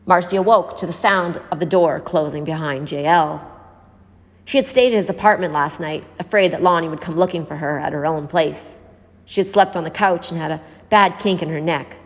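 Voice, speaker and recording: A woman's voice: low-pitched, moderate pace. A woman reading a scripted story aloud as an audiobook narrator. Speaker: audiobook reader. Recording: echoey hall-like reverb.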